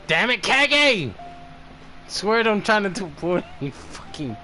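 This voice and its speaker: A man streaming a video game, commenting casually in a profane, excitable way as he plays. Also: sits high, takes it slowly, faint music behind. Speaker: gaming streamer.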